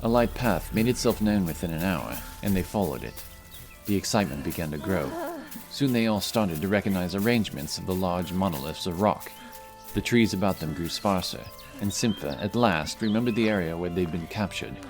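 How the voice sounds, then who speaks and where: A man's voice: sits low, lively intonation, moderate pace. A man reading scripted fantasy story narration as the narrator of an audio drama. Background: music.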